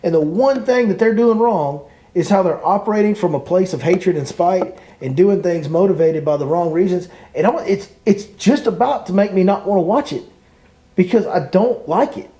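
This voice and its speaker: Man, podcast host, mellow voice, fairly high pitch, emphatic, repetitive. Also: normal speed. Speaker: podcast host and fishing guide. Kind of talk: opinionated podcast chat.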